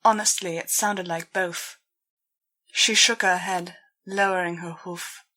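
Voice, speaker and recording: A woman's voice: fairly low pitch, steady tone, takes it slowly, bright, forceful tone. A woman narrating a dramatic first-person story as an audiobook reader.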